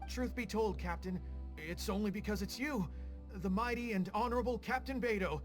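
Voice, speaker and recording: An earnest small-time rogue played by a man, keen to please and humbly deferential. His game-character delivery is excitable yet unsure of itself, with music underneath. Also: high voice, normal speed, natural voice. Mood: pleading, grateful. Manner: earnest, fawning, excitable, hesitant. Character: eager, deferential male rogue seeking to go straight. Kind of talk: video game voice acting.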